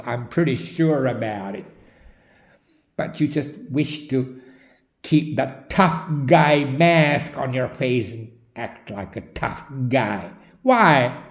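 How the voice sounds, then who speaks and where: Slow, emphatic Finnish-accented man. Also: medium pitch, roomy sound. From Finland. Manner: slow, deliberate, loud and emphatic. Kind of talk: direct-to-camera monologue.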